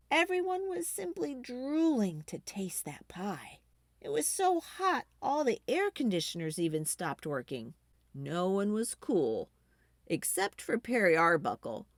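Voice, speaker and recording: A woman reading a children's book aloud expressively, with dramatic emphasis and drawn-out key words. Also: fairly high pitch, no room sound, studio quality. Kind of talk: expressive storytime read-aloud.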